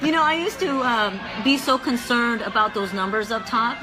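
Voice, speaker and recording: A woman's voice: on the high side, animated, moderate pace, rough recording. A woman hosting a casual livestream chat, upbeat and encouraging, often chiming in. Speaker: livestream host. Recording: background music and crowd noise.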